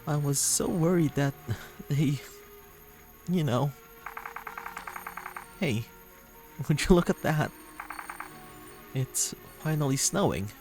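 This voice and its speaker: Man acting a slow, earnest, anguished young man. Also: fairly high pitch, animated, poor audio. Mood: relieved, tender. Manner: halting, earnest, tender delivery. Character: gentle, insecure, anguished young man in a dating game. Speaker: voice actor reading a visual novel. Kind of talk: emotional dramatic game dialogue reading. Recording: music playing under the voice. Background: music.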